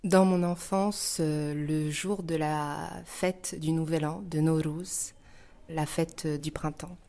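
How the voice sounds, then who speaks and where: Woman from northern Iran, low register, interview guest, thoughtful and hesitant with frequent searching pauses. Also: steady tone, mellow. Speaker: interview guest, dancer and choreographer. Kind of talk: reflective interview answers.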